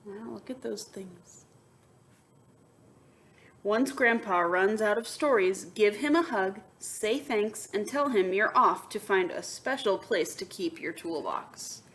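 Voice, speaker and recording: A woman teacher reading a picture book aloud to young students, expressive, moving between emphatic reading and soft, wondering asides. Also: medium pitch, takes it slowly. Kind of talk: children's read-aloud with asides and questions to students.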